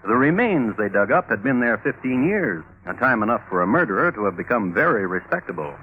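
An actor playing a droll, precise crime historian, narrating in a measured deadpan in old radio style. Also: male voice, on the low side. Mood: dry, measured. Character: droll, precise crime historian hosting true murder tales. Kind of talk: scripted 1950s radio narration. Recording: tinny old radio recording.

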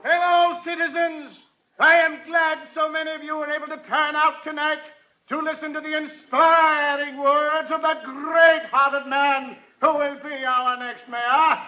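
A grandiose rally announcer in a very high voice. The delivery is florid, declamatory radio comedy oratory, on a muffled vintage recording. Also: male voice, flat delivery, a little slow, long pauses, faint music behind. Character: grandiose political master of ceremonies at a rally.

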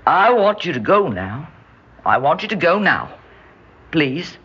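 A woman's voice: low voice, big pitch swings, measured pace, a bit bright, slightly rough, exaggerated voice. An actress in an old-time radio drama playing a genteel, lonely shopkeeper who dotes on her cousin, fluttery and pleading in manner. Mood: pleading, hurt. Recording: dull noisy old radio recording.

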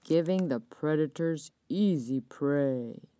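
Woman voicing a slow, growling storybook tiger. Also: low-pitched, warm tone, voice pushed down. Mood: hungry, scheming. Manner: growling, dramatic, drawn-out delivery. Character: proud, hungry, easily frightened male tiger. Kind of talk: children's storybook character voice.

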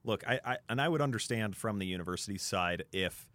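A man's voice: fairly low pitch, lively intonation, fairly quick, fairly bright tone, no echo. A man on a sports talk panel, speaking off the cuff and reasoning aloud in a conversational way. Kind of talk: unscripted sports discussion.

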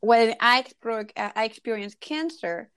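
A woman's voice: a little high, normal speed, a bit bright, clear, resonant tone, no room sound. A woman in her forties from Ecuador, a relationship and intimacy coach, speaking earnestly and reflectively as a podcast guest. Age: 40s.

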